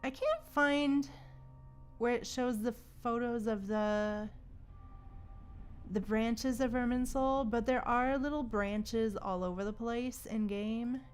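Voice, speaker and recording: A woman's voice: a little high, fairly even intonation, slow, soft background music. A woman chatting casually on a gaming livestream, thinking aloud in an informal, musing way. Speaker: livestream host.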